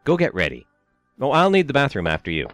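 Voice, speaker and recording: An actor playing a concerned elderly husband who gently coaxes and reassures his wife. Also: mid-range voice, wildly swooping pitch, fast talker, over music. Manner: coaxing, reassuring.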